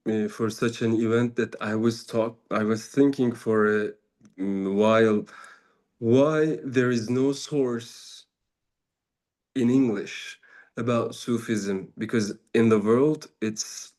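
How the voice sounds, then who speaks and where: Mellow-voiced Turkish-accented man. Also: mid-range voice. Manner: halting, searching for English words, drops Turkish fillers. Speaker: Sufi mentor and speaker. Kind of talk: informal spiritual talk.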